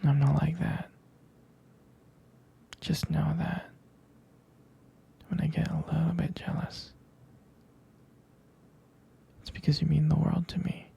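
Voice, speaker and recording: A man playing a tender, protective boyfriend in an ASMR roleplay, murmuring hesitantly and intimately in a breathy, husky whisper. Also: a little high, level tone, unhurried, pauses often, mellow, highs cut off, heavily acted. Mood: tender jealousy. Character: jealous, protective, tender boyfriend consoling his partner.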